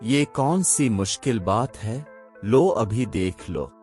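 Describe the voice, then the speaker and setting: Storybook braggart, played by a man: lowish, unhurried delivery, always one-upping, for a kids' tale. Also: lively intonation, darkish tone. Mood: cocky. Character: boastful, bickering male tall-tale teller. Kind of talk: children's audio story dialogue. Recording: voice over background music.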